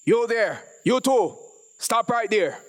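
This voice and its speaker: A man voicing a gruff, commanding police officer in a story reading, barking orders with mocking suspicion. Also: extremely high pitch, lively intonation, slow pace, fairly bright tone, voice pushed up, full character voice. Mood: stern, commanding.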